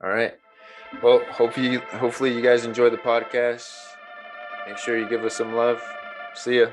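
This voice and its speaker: A man's voice: medium pitch, flat delivery, moderate pace, music in the background. A man hosting a casual podcast, wrapping up the show in a laid-back way with plenty of fillers. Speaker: podcast host. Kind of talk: casual chat, show sign-off.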